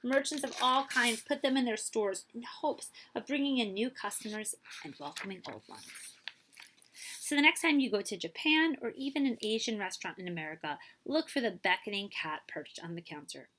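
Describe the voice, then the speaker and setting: A woman reading a children's story aloud in an expressive, animated way, as a librarian would. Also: on the high side, moderate pace, slight background noise, poor audio. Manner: expressive, with commentary asides to listeners.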